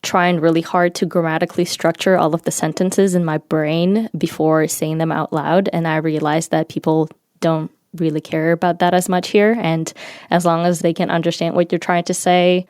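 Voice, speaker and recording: A young woman from Ukraine, a native Ukrainian speaker, speaking as a podcast guest in a measured, reflective manner. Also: lowish voice, a little mellow, clear, resonant tone, no room sound, studio quality. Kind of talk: casual interview talk.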